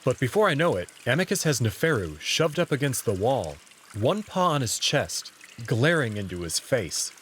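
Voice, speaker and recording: A man with a medium-pitched voice narrates a visual novel in the first person with lively intonation. Faint noise and trickling water sit behind him. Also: moderate pace.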